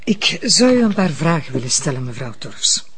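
An actress playing a composed, persistent investigating judge in a TV crime drama, polite and probing. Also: female voice, low-pitched, big pitch swings, moderate pace, crowd noise. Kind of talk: naturalistic TV crime drama acting.

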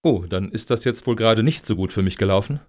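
An actor playing a sardonic, plain-spoken applicant in a satirical radio play, speaking in a wry, pointed way. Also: male voice, on the low side, fast talker, a little mellow, a little hiss or hum, lightly acted. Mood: matter-of-fact.

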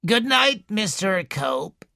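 A wheezing, domineering old woman drags out every word slowly and coldly, as a male narrator puts her on. Her voice has a strained, airy texture and swings widely in pitch. It sounds close and dry, with no room around it. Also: very animated, creaky, sharp tone, dry recording, heavily acted. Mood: cold, controlling. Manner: slow, cold, controlling. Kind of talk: audiobook character reading.

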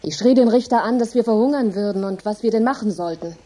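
A woman's voice: a little high, fast talker, natural voice. An actress playing a grief-stricken, embittered poor farm widow, telling her story intensely and dramatically in a radio play. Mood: anguished, indignant. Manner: intense, dramatic, emphatic storytelling with hushed drops. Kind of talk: German radio drama monologue.

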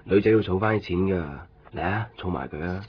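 Deep-voiced, devoted young Hong Kong man played by an actor. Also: brisk, a little hiss or hum, lightly acted. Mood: teasing, caring. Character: gentle, teasing, devoted young man in love. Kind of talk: Cantonese radio drama acting, low-key and natural. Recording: dull lo-fi 1990s radio recording. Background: faint music.

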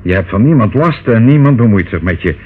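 An actor playing a devious older uncle in a radio drama, glib, reassuring and condescending. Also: male voice, fairly low pitch, animated, fairly quick, husky. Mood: smug reassurance. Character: smooth, devious older uncle, soothing and patronising. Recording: phone-like, noisy old radio play recording.

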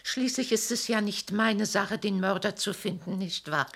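A woman's voice: medium pitch, moderate pace, gravelly, a bit bright. An actress playing a nosy, self-righteous neighbor woman in a radio play, indignant and theatrical. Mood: gossiping.

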